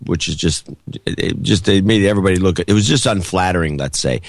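A man with a cold, his voice gravelly and hoarse, pushing hard and almost shouting through casual opinionated chat as a podcast co-host. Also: low voice, a little fast, no echo. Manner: loud, pushing air, close to shouting.

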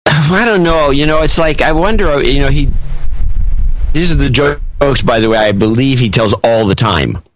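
A man's voice: mid-range voice, normal speed, rough recording. A man co-hosting a podcast, chatting casually and hesitantly. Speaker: podcast co-host. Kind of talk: casual chat.

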